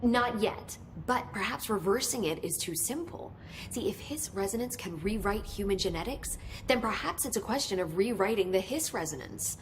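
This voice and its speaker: A woman playing an excitable lab scientist. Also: medium pitch, slight background noise, natural voice. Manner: brisk, gets carried away with enthusiasm. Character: excitable female research scientist.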